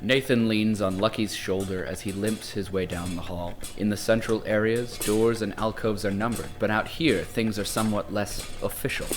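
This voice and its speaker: An actor playing a grave, ominous narrator, giving measured, theatrical narration for a radio serial. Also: male voice, on the low side, fairly even intonation, close to an everyday voice. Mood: grave, evocative. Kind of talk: scripted dramatic narration. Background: music.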